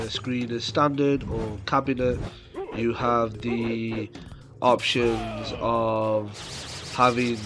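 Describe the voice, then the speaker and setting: A man with a cold and a nasal voice, casually commenting on gameplay for his channel, with fillers. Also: mid-range voice. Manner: slow, rambling, many fillers. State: has a cold coming on. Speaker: gaming YouTuber. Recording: game music behind voice. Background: game music.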